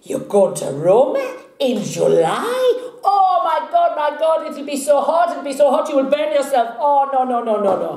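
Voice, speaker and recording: Woman putting on a loud high mock italian barber guy, all drama, echoey room. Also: big pitch swings, moderate pace. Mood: animated. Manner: exclamatory, dramatic, repeats himself. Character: excitable middle-aged male Italian barber. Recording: roomy echo.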